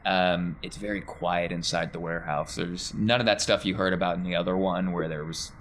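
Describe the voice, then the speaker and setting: Guy game mastering, low voice, describing scenes off the cuff, noisy recording. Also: steady tone, fairly quick, a bit bright. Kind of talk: improvised tabletop game narration.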